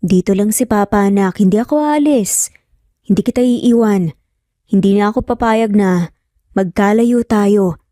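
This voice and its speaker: A woman's voice: medium pitch, measured pace, pauses often, dark tone, dry recording, studio quality, lightly acted. A woman voicing an earnest, emphatic young father in a narrated romance story, torn over his child. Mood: reassuring. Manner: earnest, emphatic, code-switching.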